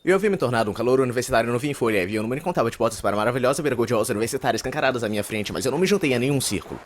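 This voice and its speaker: A man's voice: mid-range voice, animated, very fast, natural voice. A man with a Brazilian accent playing a verbose, self-important, reclusive young university student narrating an anime monologue. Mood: pompous, declarative. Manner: pompous, wordy, formal.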